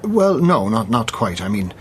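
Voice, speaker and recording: Male doctor, lowish voice, explanatory, careful, candid, faint background noise, radio drama. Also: sing-song, measured pace. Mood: measured.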